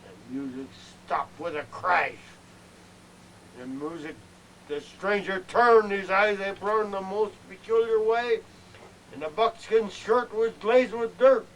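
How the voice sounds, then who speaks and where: A man giving a theatrical recitation of a narrative frontier ballad, swelling with emphasis and dropping to hushed lines. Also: high-pitched, takes it slowly. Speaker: amateur poetry reciter. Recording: noisy amateur VHS home video.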